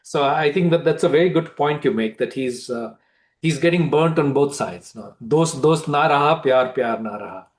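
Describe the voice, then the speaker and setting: A man's voice: on the high side, moderate pace. A man responding casually in a discussion, restating his points for emphasis as he reasons aloud. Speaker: storyteller responding to comments.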